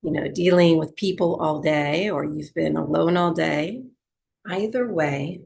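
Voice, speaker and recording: Woman, low creaky voice, yoga teacher, guided meditation, gentle and soothing, long pauses.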